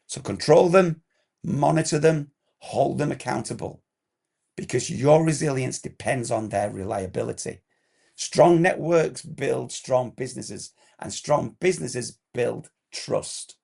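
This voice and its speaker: Guy, hoarse scratchy throat, gravelly voice, walking through compliance steps, lots of "what about...?" questions. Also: mid-range voice, a little slow, mellow. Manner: direct, fires off rhetorical checklist questions. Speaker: compliance consultant. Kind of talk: instructional explainer video.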